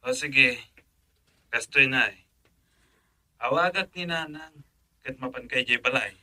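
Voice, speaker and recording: A man's voice: mid-range voice, unhurried, sharp tone. A man playing a fond, teasing uncle in an Ilocano radio drama, with an Ilocano Filipino accent. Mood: generous, directive. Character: fond, teasing uncle giving his niece advice.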